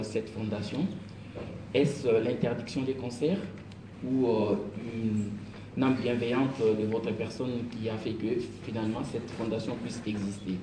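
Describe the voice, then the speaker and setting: A man, a radio journalist, puts structured, formal questions at a press conference, with some hesitation. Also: medium pitch. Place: press conference room in Brussels. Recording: echoey room, distant mic, clear noise.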